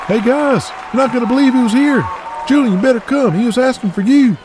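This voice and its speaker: A man's voice: very high voice, fairly quick, a little mellow, a faint crowd, slight background noise, voice pushed up. A man acting a nervous, deferential wrestling henchman in an audio drama. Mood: excited. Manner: hesitant, anxious, placating.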